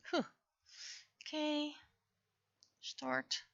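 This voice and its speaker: A woman's voice: sits high, flat delivery, very slow, gravelly, fairly bright tone. A woman livestreamer chatting casually and muttering to herself in short fragments, on slightly dull stream audio. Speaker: livestreamer, VTuber artist. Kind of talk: casual livestream chat, muttering through setup.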